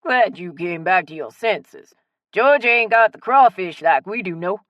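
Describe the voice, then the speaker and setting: A woman's voice: mid-range voice, lively intonation, unhurried, fairly bright tone, no room sound, highs cut off. A woman narrator voicing a talkative, friendly Cajun man who likes to tease, in an audiobook story. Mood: nosy, teasing.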